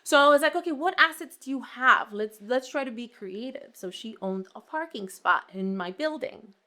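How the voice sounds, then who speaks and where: Animated Brooklyn woman telling stories. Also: on the high side, normal speed. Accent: Brooklyn New York. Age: young adult. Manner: animated, acts out quoted dialogue. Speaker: real estate agent, podcast guest. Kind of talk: casual interview storytelling.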